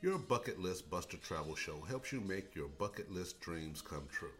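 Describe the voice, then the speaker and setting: A man's voice: deep voice, expressive, moderate pace. A man reading a polished, scripted intro for a travel show. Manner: polished announcer delivery. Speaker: show announcer. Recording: faint music bed underneath.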